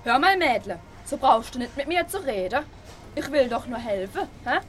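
A woman's voice: high-pitched, expressive, normal speed, faint music behind. A woman playing a brash, wounded male would-be gangster rapper with a Swabian accent and pushy, slangy talk in a radio play. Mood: pushy, flirting. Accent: Swabian German.

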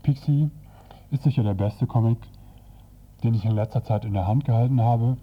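A man's voice: mid-range voice, normal speed, slightly rough. A man reviewing and narrating a comic as a radio presenter. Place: indoors, radio show. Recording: slightly dull, lo-fi radio recording.

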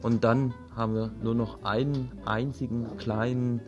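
A man's voice: mid-range voice, steady tone, unhurried, dull audio. A man presenting cultural listings on community radio, partly talking freely and partly reading from a programme. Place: radio studio. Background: plucked guitar music under the voice.

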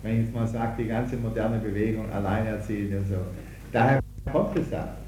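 Man talking over loud music, roomy sound. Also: on the low side, fairly even intonation, normal speed.

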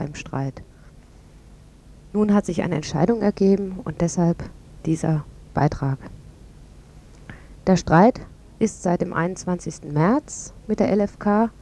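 A woman with a dark, mellow voice on the low side presents a radio report. Her delivery is scripted, factual and orderly, as a local news presenter. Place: radio studio.

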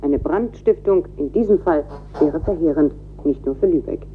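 A woman's voice: low voice, moderate pace, soft background music. A woman reading a scripted news report in a grave, formal manner, heard in a played broadcast clip. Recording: tinny, noisy, humming off-air TV clip.

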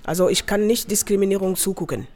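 A man's voice: high-pitched, fast talker, darkish tone. A man from Senegal, an adult education seminar leader, talking spontaneously in an interview.

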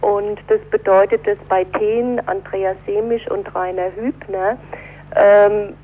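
A woman speaking as a defence lawyer in an interview, explaining things in a measured, formal legal way. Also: medium pitch, steady tone, warm tone, rough recording. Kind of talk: spoken interview answers.

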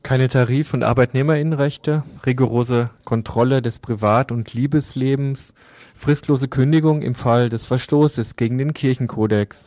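A man's voice: mid-range voice, a little mellow, no room sound. A man reading scripted news introductions as a radio presenter.

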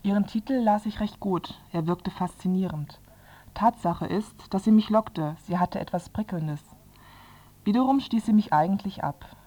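Mellow-voiced woman reading literary prose. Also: medium pitch, moderate pace. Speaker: reader of a literary quotation.

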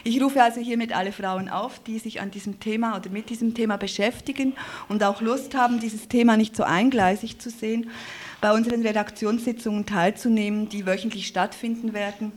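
A woman's voice: fairly high pitch, steady tone, fairly quick. A woman presenting live in a radio studio, speaking in an earnest, wordy way and often correcting herself. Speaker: radio presenter and editorial team member.

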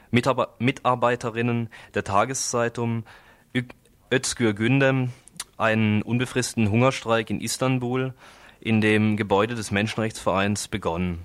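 A man's voice: medium pitch, no echo. A man presenting radio news in an informal way, reading announcements and short reports with some stumbles over words.

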